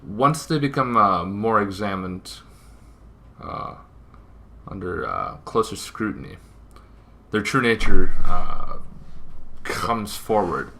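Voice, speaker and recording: A man's voice: lowish voice, sing-song, takes it slowly, gravelly, pauses often, sharp tone, slight background noise. A man hosting an informal explainer, talking in a rambling way with asides and self-corrections. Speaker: host of a tabletop gaming show.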